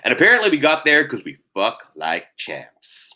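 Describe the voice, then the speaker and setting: Animated, bright-voiced man with wry delivery. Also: medium pitch, normal speed. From Trinidadian. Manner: wry, irreverent. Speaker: playwright and performer, interview guest. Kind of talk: animated solo storytelling and casual chat.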